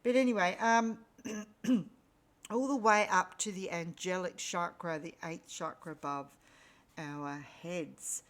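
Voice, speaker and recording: A woman with a slightly raspy voice, still recovering from losing it, giving an unhurried spiritual energy reading with frequent pauses and ums. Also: on the low side. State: recovering from lost voice. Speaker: spiritual reader.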